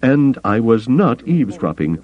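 A man's voice: mid-range voice, very animated, moderate pace, a little mellow, lo-fi sound, voice pushed up, natural voice. A man voicing a furry male alien counselor, formal and pompous, in an audiobook story. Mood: indignant protest. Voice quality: somewhat melodious.

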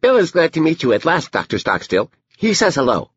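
A man voicing a calm, self-possessed, earnest seven-year-old girl in an audiobook story. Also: on the high side, brisk, breathy edge, no room sound, dull audio, voice pushed up, heavily acted.

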